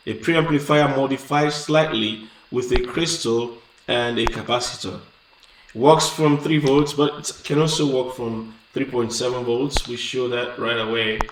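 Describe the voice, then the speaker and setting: A man's voice: mid-range voice, fairly even intonation, measured pace. A man hosting a casual hands-on electronics tutorial, explaining step by step with frequent restarts and fillers. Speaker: electronics channel host. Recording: echoey room, mic at a distance, noisy.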